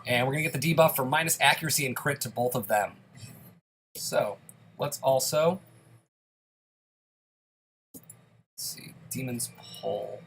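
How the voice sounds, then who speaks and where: A man's voice: medium pitch, unhurried, vocal fry, pauses often, a bit bright, slight background noise. A young man giving casual, self-deprecating play-by-play commentary on a dungeon game while a bit sick. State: slightly sick, occasional coughs. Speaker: gaming YouTuber.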